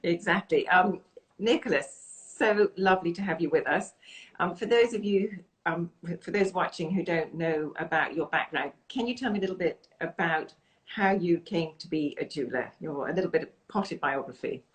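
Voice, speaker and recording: A woman's voice: lowish voice, moderate pace, rough recording. A woman hosting a video call interview from her home in London, chatty and full of effusive praise. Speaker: interview host.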